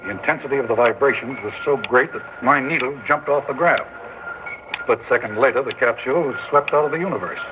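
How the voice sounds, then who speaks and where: An actor playing a puzzled scientist professor who explains things in a flat, matter-of-fact way. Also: male voice, mid-range voice, a little fast, natural voice. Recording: tinny old radio broadcast with hiss.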